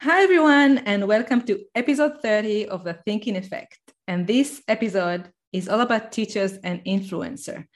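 A woman co-hosting an education podcast who talks eagerly, with quick bursts and some restarts. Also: mid-range voice. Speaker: podcast co-host. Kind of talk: casual chat.

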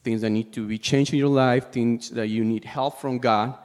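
A man with a Peruvian Spanish accent delivers a sermon in a mid-range voice, heard with long hall reverb. He is a native Spanish speaker and goes haltingly, feeling for his words as he preaches. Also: mid-range voice, fairly even intonation, darkish tone. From Peru. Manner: halting, searching for words in a second language.

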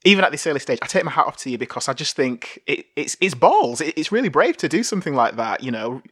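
A man hosting a podcast interview over a video call, enthusiastic and affirming, quick to laugh. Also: fairly high pitch, big pitch swings, fairly bright tone, husky, dry recording, studio quality. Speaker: podcast host.

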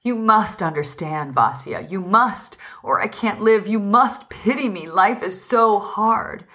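A woman's voice: fairly low pitch, lively intonation, moderate pace, a bit bright. An agitated revolutionary husband from a storybook, acted out by a woman narrator. He is vehement and self-pitying, pleading his case with grave insistence. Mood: desperate, pleading.

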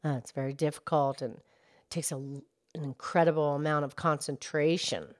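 Female podcast co-host, factual and unhurried in manner, explaining plainly. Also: deep voice, steady tone. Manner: measured, matter-of-fact.

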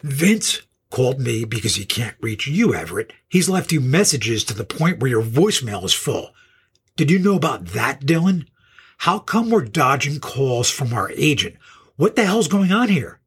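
A man playing an angry young rocker in an audio drama, a fed-up, sarcastic drummer. His voice is very husky and rough, swinging up and down as he confronts his bandmates. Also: medium pitch, sing-song, moderate pace, heavily acted. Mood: sarcastic, indignant. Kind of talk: audio horror drama acting.

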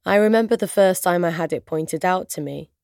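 A woman with a British accent and Arabic as her first language, reciting spoken word poetry in measured, deliberate phrases with pauses. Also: fairly low pitch, vocal fry, a little mellow, no room sound, studio quality.

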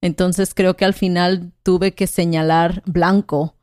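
A woman's voice: on the low side, little pitch movement, takes it slowly, a little mellow, no room sound, clean studio sound. A woman from Mexico in casual conversation, talking thoughtfully and hesitantly, often ending with "¿no?". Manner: thoughtful, hesitant, with frequent "¿no?" tags.

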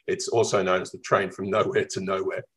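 A middle-aged man with a mild Melbourne accent speaks in a fairly low voice. He tells his stories in a reflective, lively way, circling back with ums and small restarts. It sounds like an easygoing radio interview guest. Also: fairly quick. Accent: Melbourne, Australian. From Australia. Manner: reflective, lively storytelling with fillers. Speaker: travel writer guest.

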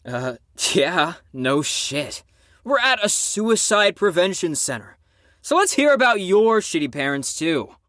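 A man's voice: high voice, sing-song, measured pace, fairly bright tone, dry recording, clean studio sound, full character voice. A man playing a brash, sarcastic, cocky and bitter teenager in an audio drama.

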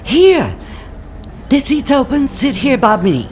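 A woman's voice: huge pitch swings, slow pace, a little mellow, faint music behind, full character voice. A woman acting in an audio play as a genteel, polite elderly lady who speaks in exclamations and courteous questions. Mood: eager. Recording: faint vehicle rumble behind the voice. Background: bus ride ambience.

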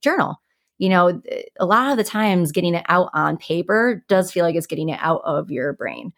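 A woman's voice: fairly low pitch, vocal fry, no room sound, studio quality. A woman co-hosting a podcast, giving advice in an informal, conversational way and explaining things through everyday examples. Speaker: podcast co-host giving sleep tips.

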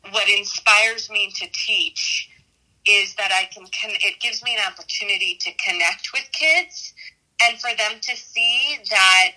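A middle-aged American woman giving reflective interview answers as an educator, haltingly, often repeating and correcting herself. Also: medium pitch, bright, forceful tone, rough recording. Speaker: math teacher and school dean.

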